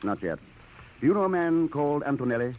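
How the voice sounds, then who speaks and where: Lively investigator, muffled old radio. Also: male voice, on the high side, fast talker. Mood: brisk, probing. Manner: clipped, questioning delivery. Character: suave, probing male investigator abroad, drily persistent. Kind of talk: 1940s radio drama acting.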